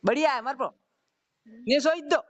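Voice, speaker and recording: A woman's voice: sits high, normal speed. A woman with a Rajasthani accent talking casually and bluntly on a phone call, often repeating herself. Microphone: telephone. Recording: dull lo-fi phone call recording.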